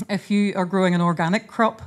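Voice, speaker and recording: A woman giving an earnest, informal conference talk in a hotel conference room, often pausing and restarting her sentences. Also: medium pitch. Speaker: conference speaker and seed charity founder. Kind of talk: conference presentation.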